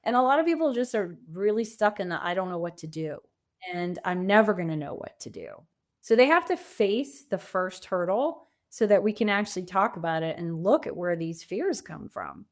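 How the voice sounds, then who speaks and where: A woman's voice: on the low side, animated, moderate pace. A woman speaking as a coach and guest in a conversational podcast interview, reflective and emphatic in her phrasing.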